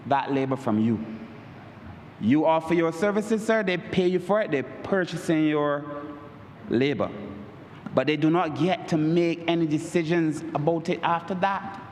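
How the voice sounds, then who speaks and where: Bajan guy making a speech in parliament, kinda high voice, big roomy hall sound, faint hum behind. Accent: Barbadian. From Barbados. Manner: oratorical, repeats "Mister Speaker, sir" as a refrain; emphatic, rises in pitch on key points. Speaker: member of parliament. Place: large parliament chamber. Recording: echoey chamber with long reverb.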